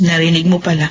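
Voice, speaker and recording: A woman's voice: fairly low pitch, lo-fi sound, slight background noise. A woman acting as a practical, guarded middle-aged widowed mother in a Filipino drama. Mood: defensive. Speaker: actress in a Tagalog drama.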